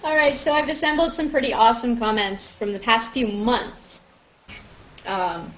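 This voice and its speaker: A woman's voice: on the high side, moderate pace. A woman casually hosting a live group chat over a web video call, with a playful manner. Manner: playful, prone to laughing. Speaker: host of a live online hangout. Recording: dull, noisy web call audio.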